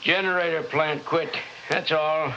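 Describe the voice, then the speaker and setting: An actor playing a dazed, bitter young husband in a 1960s horror radio drama, speaking in halting, broken phrases. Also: male voice, a little high, steady tone, sharp tone. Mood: weary, irritated. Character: dazed, bitter honeymooning husband trapped in a cabin. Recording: dull, noisy old radio recording.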